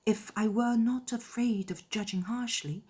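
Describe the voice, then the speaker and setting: A woman voicing a gentle, wounded young gentlewoman in a period audiobook drama, speaking in an earnest, measured, formal way. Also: a little high, husky, voice pushed up. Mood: hurt, puzzled. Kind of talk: dramatized period novel letter reading.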